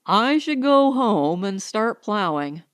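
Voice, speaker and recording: A woman's voice: medium pitch, very animated, slow, clear, resonant tone. A woman voicing a plain-spoken, uneasy man who farms on a colony world, terse and awkward, in an audiobook. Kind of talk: audiobook character dialogue.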